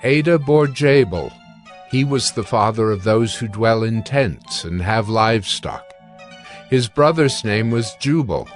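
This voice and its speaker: A man narrating scripture from a script in a measured, solemn manner. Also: on the low side.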